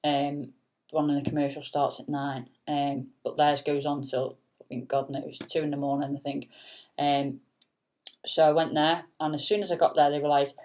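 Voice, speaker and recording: A woman and singer with a soft, low voice, rambling casually in a late-night vlog with lots of fillers and the odd swear word. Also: little pitch movement, moderate pace. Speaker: singer and vlogger.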